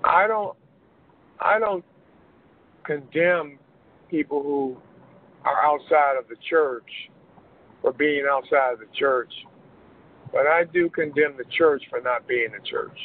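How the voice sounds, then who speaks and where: A man, a pastor being interviewed, speaking in a measured, earnest way with many pauses. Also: a little high. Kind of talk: interview conversation. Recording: muffled phone line, faint hiss.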